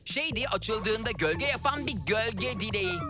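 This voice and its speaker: A woman voicing a calm, knowledgeable guide to magic wishes in a dubbed children's cartoon, measured and gentle. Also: a little high. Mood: explaining, gentle. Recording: music behind the voice.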